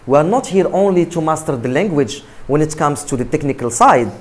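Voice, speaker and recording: Lively-pitched man giving an emphatic talk. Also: fairly quick. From Morocco. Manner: emphatic, repetitive, asks "right?" often. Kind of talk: motivational talk to students.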